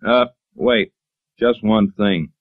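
Dry-sounding American police lieutenant. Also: male voice, lowish voice, takes it slowly, no room sound. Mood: matter-of-fact. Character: businesslike male police detective lieutenant. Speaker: supporting actor. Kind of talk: 1940s radio drama acting. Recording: muffled old radio recording.

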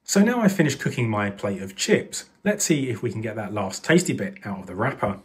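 A man's voice: fairly low pitch, very animated, a little fast. A man hosting an electronics video, narrating a hands-on demonstration at his desk, thinking aloud with fillers and self-corrections. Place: desk with microscope and monitor, indoors.

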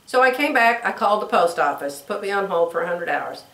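Hoarse chatty older woman, roomy sound. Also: medium pitch, animated, a little fast, a bit bright, far from the mic. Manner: chatty storytelling, acts out quoted voices. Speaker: sewing vlogger. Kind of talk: casual chat to camera. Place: bedroom sewing room, indoors. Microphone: camera across the room. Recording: roomy, from off mic.

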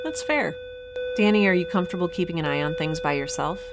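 An actress playing a wry young scientist on a space station, casual, breezy and sarcastic, in a science fiction audio drama. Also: female voice, lowish voice, fast, over loud music, close to an everyday voice. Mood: brisk, wry.

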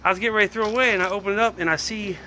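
Excitable swearing man on a phone livestream. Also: high voice, rapid-fire, faint music behind, low-quality recording. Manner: rambling, excitable, swears casually. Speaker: vlogger. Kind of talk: casual live-stream chat. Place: outdoors at a storage unit. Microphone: phone at arm's length.

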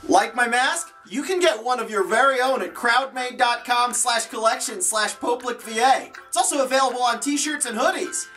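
A man's voice: very high voice, moderate pace, few pauses, pushed and bright. A man in his thirties doing jokey, theatrical promo chat to camera as a streamer in a room at home. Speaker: streamer and voice actor promoting own channel. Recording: slightly distant mic, music behind.

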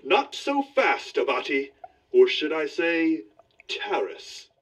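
Grandiose, theatrical radio-serial magician. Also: male voice, fairly high pitch, sing-song, husky, recorded from a distance, exaggerated voice, rough recording. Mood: triumphant. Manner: theatrical, declamatory, drawn-out words. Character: grandiose male magician crime-solver of old radio serial. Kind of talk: 1940s radio serial acting.

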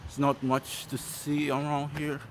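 A man giving a casual, unscripted walking commentary as a vlogger, speaking haltingly in short fragments. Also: a little high, completely flat delivery. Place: outdoors walking along a residential street. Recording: outdoor street noise, passing vehicles.

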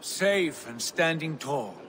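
A tired Viking chieftain, subdued and slow. His pitch still rises and falls widely, and low noise runs beneath him. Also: animated, fairly bright tone. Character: weary Viking chieftain back from a voyage.

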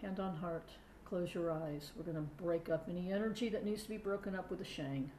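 A woman guiding a meditation with gentle, unhurried instructions and long pauses. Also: fairly low pitch, very noisy. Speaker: guided meditation leader, sound healing practitioner. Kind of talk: guided meditation. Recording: roomy echo, loud singing bowl tones. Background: singing bowls and tuning fork tones.

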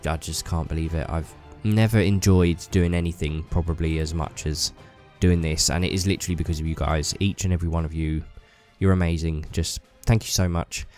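Deep male vlogger, stuffy cold, enthusiastic and chatty. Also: fairly even intonation, a little fast, hardly any pauses, darkish tone, soft background music. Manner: enthusiastic, rambling with fillers. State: bunged up with a cold. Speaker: YouTuber. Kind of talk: casual hobby vlog talk.

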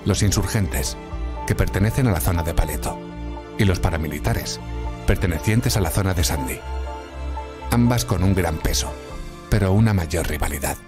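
A man's voice: low-pitched, expressive, normal speed, long pauses. A man playing a grave military narrator briefing apocalypse volunteers in scripted dramatic narration. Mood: grave, ominous. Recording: loud music under the voice.

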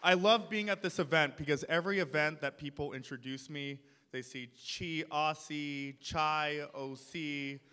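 A man's voice: fairly high pitch, unhurried, few pauses, sharp tone, smooth. A young man, a city council member, giving a passionate speech at a podium in a large ornate hall. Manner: passionate, rising to emphatic points. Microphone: podium mic. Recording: long hall reverb. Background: audience in the hall.

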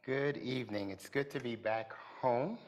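A middle-aged man preaching as a pastor from a pulpit in a large church hall, speaking deliberately and building emphasis. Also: mid-range voice. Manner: slow, deliberate delivery with rising emphasis. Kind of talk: sermon.

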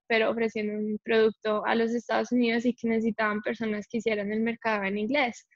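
A woman in her twenties, born in Colombia and raised in Canada, telling her story in a chatty, animated way with many fillers on a video call. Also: on the high side, no echo. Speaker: guest, software developer. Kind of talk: casual interview chat. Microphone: laptop webcam on video call. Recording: dry, dull video call audio.

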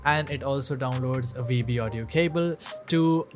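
A man giving a scripted tutorial narration as a software reviewer. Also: mid-range voice, moderate pace.

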